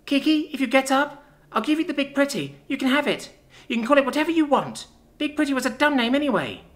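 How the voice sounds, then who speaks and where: A woman's voice: high-pitched, expressive, quick pace, pitched above the performer's own voice. A woman voicing a small, frightened young girl in an audiobook, childlike and pleading. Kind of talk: audiobook character acting.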